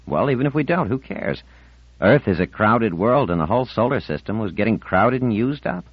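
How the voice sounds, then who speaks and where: A man's voice: fairly low pitch, expressive, normal speed, husky, no echo, dull audio, pitched above the performer's own voice. A man voicing a cheerful, teasing teenage boy in an audiobook, breezy and playfully reasoning.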